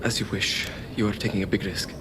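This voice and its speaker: A man's voice: fairly low pitch, steady tone, brisk, background noise, natural voice. An actor playing a deferential inventor in a video game, polite and careful in his speech. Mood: cautious. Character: deferential inventor supplying a knightly order. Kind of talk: dramatic game cutscene acting.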